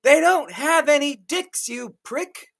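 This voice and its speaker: A man acts out a boyish little adventurer in a fantasy roleplay. The voice is high, bright and jumpy in pitch, full of rambling, indignant, crude protest. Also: lively intonation, normal speed. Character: small boyish adventurer, really 35, indignant and panicky.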